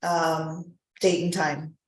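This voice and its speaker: A woman's voice: sits low, little pitch movement, takes it slowly, a little hiss or hum. A woman chairing a formal board meeting, moving through procedure with conversational, informal asides. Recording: echoey room recording.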